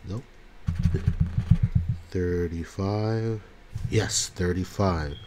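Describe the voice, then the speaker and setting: A man's voice: low voice, a little mellow, a little hiss or hum. A man with a congested voice from a cold, calling out numbers one by one as he scratches lottery tickets. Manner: slow, calls out numbers one by one. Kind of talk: casual commentary while scratching tickets.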